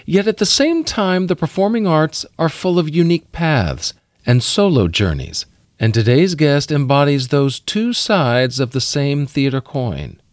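A man's voice: a little high, sing-song, measured pace, no room sound, studio quality. A middle-aged man hosting a podcast, moving between prepared narration and interview talk. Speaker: podcast host.

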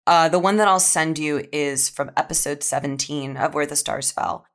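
Young female writer as podcast guest; low voice, candid, earnest, casual reflective talk. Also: steady tone, moderate pace, fairly bright tone.

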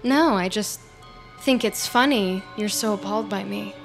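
An actress playing a sly, callous, manipulative killer in an audio drama, with a cool sardonic, coaxing manner. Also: female voice, medium pitch, moderate pace, soft background music, close to an everyday voice, low-quality recording. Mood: mocking, cold. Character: sly, callous, manipulative woman who kills.

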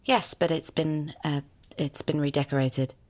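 A woman's voice: low voice, steady tone, moderate pace, a little mellow, close to an everyday voice. An actress playing a nervous, awkward young British woman visiting her parents, hesitant and guarded in her replies. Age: young adult.

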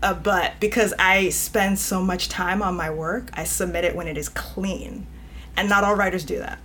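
A woman guest, an editor, talking casually in an interview, animated and often laughing, with many "you know" fillers. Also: lowish voice, moderate pace, slight background noise. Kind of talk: conversational interview.

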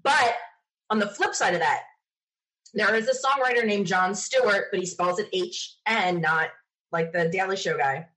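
A woman's voice: mid-range voice, normal speed, a bit bright, poor audio. A middle-aged woman chatting casually as a podcast guest, telling stories in an opinionated, emphatic way. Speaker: podcast guest being interviewed. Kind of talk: casual conversational storytelling.

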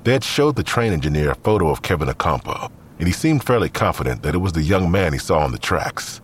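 A man's voice: sits low, sing-song, fast talker, a little hiss or hum. A man reading scripted third-person narration for an audio drama.

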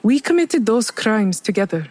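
A woman's voice: mid-range voice, big pitch swings, moderate pace, a little mellow. An actress playing a guilt-ridden, vengeful woman who speaks tersely and without repentance in an audio drama. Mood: grim, resigned.

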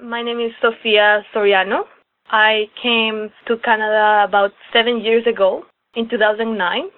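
Casting note: woman from El Salvador, twenties, higher voice, dry tinny phone audio. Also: flat delivery, moderate pace, dry recording. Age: 20s. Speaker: guest, activist organizer. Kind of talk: interview over a call.

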